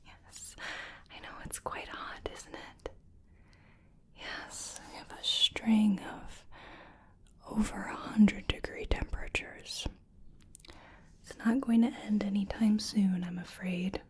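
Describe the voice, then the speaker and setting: An American woman doing a soft-spoken ASMR roleplay as a wellness practitioner, whispering gently and soothingly in a breathy voice. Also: mid-range voice, fairly even intonation. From US Midwest. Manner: gentle, soothing personal attention, unhurried with pauses. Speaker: ASMR creator playing a wellness treatment practitioner.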